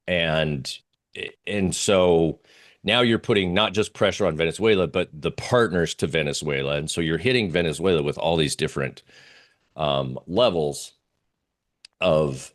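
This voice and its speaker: A man giving unscripted analysis as an interview guest, talking through slides in a halting, rambling way with pauses and repeated phrases. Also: rumbling low. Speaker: interview guest, geopolitical oil trading analyst.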